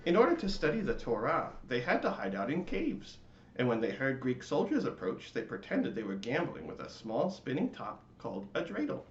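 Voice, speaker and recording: A man's voice: medium pitch, wildly swooping pitch, normal speed, reverberant, dull audio, slight background noise. A man voicing an indignant, exasperated talking latke in a storybook, emphatic and aggrieved as it explains itself. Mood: exasperated, insistent.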